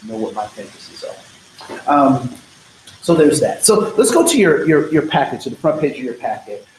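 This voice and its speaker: A man's voice: mid-range voice, sing-song, moderate pace. A man leading a diversity training workshop, talking casually and wandering off on tangents, often checking in with "right?". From United States. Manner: casual, digressive, frequent "right?" and "um". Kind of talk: conversational workshop lecture. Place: training room. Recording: echoey training room, faint noise.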